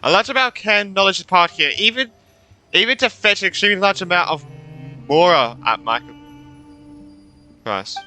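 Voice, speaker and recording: A man's voice: sits high, lively intonation, normal speed, pauses often, sharp tone, soft background music, rough recording. A man giving casual let's-play commentary, thinking aloud, repeating himself and reading game dialogue out. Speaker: gaming streamer.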